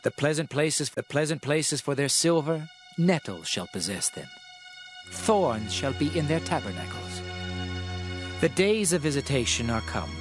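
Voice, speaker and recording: A man's voice: a little high, sing-song, a little slow, over music. A man playing a stern prophet who speaks for an angry God, declaiming scripture solemnly in a dramatized reading. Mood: grave, condemning.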